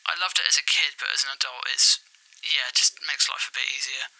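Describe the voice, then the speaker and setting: A man's voice: medium pitch, steady tone, fairly quick, pushed and bright, rough recording. A man playing a chatty, sheepish local interviewee, rambling colloquially and hesitantly. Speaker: anonymous interviewee. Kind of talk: casual phone interview chat.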